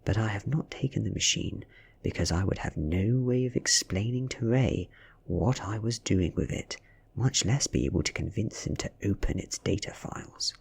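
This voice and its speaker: A man with a British accent softly reads a story in ASMR style, breathy and often slipping into a whisper, with measured, dramatic delivery. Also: on the low side, expressive. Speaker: ASMR reader. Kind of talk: soft-spoken ASMR audiobook reading.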